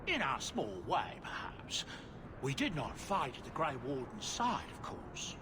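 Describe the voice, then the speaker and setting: A man's voice: medium pitch, sing-song, measured pace, background noise. A man voicing a cheerful, courteous dwarf merchant and proud father in a video game, with florid salesman manners. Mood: modest.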